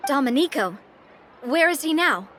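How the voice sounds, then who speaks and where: High, lively, confident young woman leader. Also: moderate pace, slight background noise. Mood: thoughtful. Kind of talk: video game voice acting.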